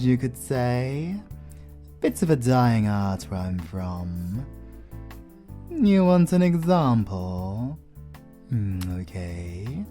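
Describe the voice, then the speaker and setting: A man's voice: fairly high pitch, mellow. A man with a British accent playing a suave, flirtatious vampire lord in an audio roleplay, courteous, theatrical and playfully teasing.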